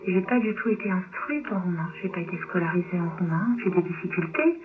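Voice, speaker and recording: A woman from Romania, native in Romanian, talking reflectively and hesitantly as a guest in an interview. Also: quick pace. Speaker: novelist, radio guest. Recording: tinny low-bitrate shortwave radio, clear noise.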